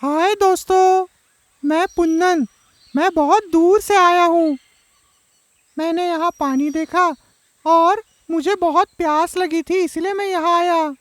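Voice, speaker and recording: A woman's voice: very high voice, fairly even intonation, slow, no room sound. A woman voicing a young male frog, polite and pleading, in a children's cartoon story. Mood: friendly. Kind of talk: Hindi children's animated story acting.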